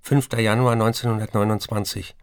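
A man's voice: on the low side, level tone, a little fast, no room sound, lightly acted. An actor playing a besotted, restless writer in a radio play, reading his letters aloud with dry, precise, literary phrasing. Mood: tender, yearning.

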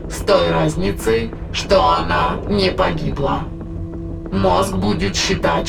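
A man playing a cold, calculating alien entity in an audio drama, speaking in a measured, clinical way over music. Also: high-pitched, vocal fry, exaggerated voice, low-quality recording. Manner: measured, clinical, matter-of-fact. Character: alien immaterial entity in a dead woman's body, cold, calculating.

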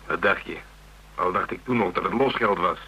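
Radio drama inspector: gruff, wry and stubborn, deep-set pitch, hard bright edge. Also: male voice, moderate pace, slight background noise, low-quality recording. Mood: wry, speculating. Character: gruff, dogged, sardonic male police inspector.

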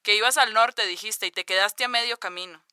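A bitter mother in a radio serial, with a very bright, cutting voice. It is crisp and dry, full of sarcasm and reproach. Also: mid-range voice, brisk, dry recording. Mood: bitter, cold. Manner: sarcastic, accusatory. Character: bitter, reproachful mother, guilt-tripping and cold. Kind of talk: radio drama acting.

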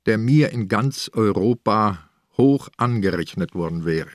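An ageing Swiss police inspector in a radio crime drama. The voice is fairly low and closely recorded without room sound, dry and chiding in manner, shrewd and matter-of-fact. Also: male voice, lively intonation, unhurried, no echo. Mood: grumbling, chiding. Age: older. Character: old ailing Bernese police inspector, dry, grumbling, shrewd.

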